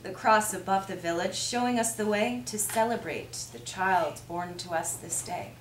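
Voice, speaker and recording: A woman giving a measured, reflective live reading of poetry. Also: medium pitch. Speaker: poem reader. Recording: echoey room with faint noise.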